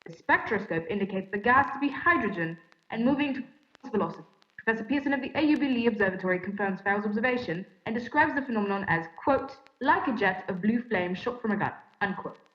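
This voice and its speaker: A woman performs a formal female radio announcer from the 1930s. She reads scripted bulletins in measured phrases at a medium pitch. The sound is echoey and a little dulled. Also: expressive, natural voice. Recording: echoey room, slightly dull sound.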